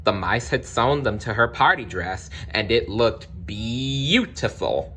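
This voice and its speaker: A man narrating a children's storybook aloud in an expressive read-along style. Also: mid-range voice, slow, a little hiss or hum. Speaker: storybook reader.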